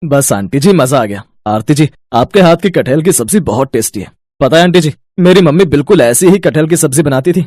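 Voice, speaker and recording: A man playing a higher-voiced, polite young neighbour. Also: lively intonation, fairly quick, darkish tone, no echo, studio quality. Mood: pleased, grateful. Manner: polite, earnest, respectful. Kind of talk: Hindi family storybook dialogue.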